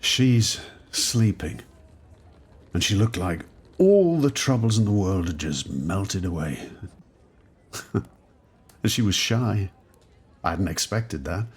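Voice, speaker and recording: An actor playing a troubled, guilt-ridden middle-aged time traveller with a husky voice, in an audio drama. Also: male voice, low-pitched, lively intonation, slow, pauses often, heavily acted. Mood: rueful, reflective. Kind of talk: audio drama diary monologue and dialogue.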